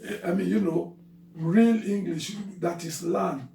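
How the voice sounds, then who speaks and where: Older nigerian dad, gravelly and dark, slow and hesitant with lots of uhs, roomy sound from far off. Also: male voice, high-pitched. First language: Yoruba. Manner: slow, hesitant explaining with many fillers. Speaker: father of the host. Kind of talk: casual chat. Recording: roomy, from far off, faint noise.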